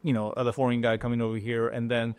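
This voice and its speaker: A middle-aged man from Japan with a Japanese accent, a chef talking as a guest in an interview, pausing often and restarting his sentences. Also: medium pitch, level tone, a little mellow, clear, resonant tone, no echo. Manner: halting, many fillers and restarts. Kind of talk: casual interview talk.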